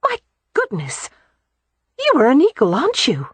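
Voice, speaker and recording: A woman reading a novel aloud, voicing a bright, frank and eager young gentlewoman in a much higher voice. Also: huge pitch swings, normal speed, slightly rough, dry recording, heavily acted. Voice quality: bright, earnest.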